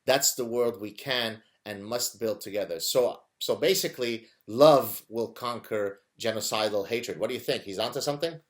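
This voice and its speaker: A man hosting an interview podcast, putting his questions in a measured, wry way. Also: mid-range voice. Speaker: podcast host. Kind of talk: interview conversation.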